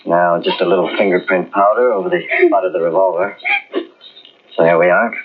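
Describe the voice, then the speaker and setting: A man's voice: low-pitched, fairly even intonation, fairly quick, husky. An actor playing a stern, no-nonsense male police inspector in an old radio mystery, curt and commanding. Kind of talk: 1940s radio acting. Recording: muffled old radio recording.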